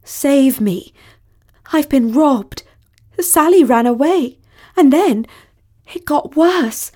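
Storybook princess, played by a woman narrator: young, imperious, melodramatic, high and slow-paced delivery. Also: very animated. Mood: pleading, afraid. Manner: haughty, demanding, melodramatic. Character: haughty, dramatic young princess, imperious and easily outraged. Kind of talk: acted dialogue in a storybook reading.

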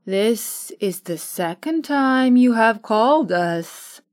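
A woman's voice: fairly high pitch, animated, takes it slowly, a little mellow. A woman voicing a courteous male winged monkey king who speaks formally and politely in a storybook reading.

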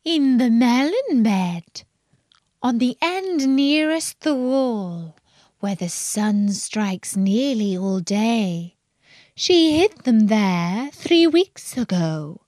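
Woman voicing a shrill sing-song little bird. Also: animated, drawn-out words, darkish tone, no echo. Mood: helpful. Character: excitable small male tailorbird, singing and boastful.

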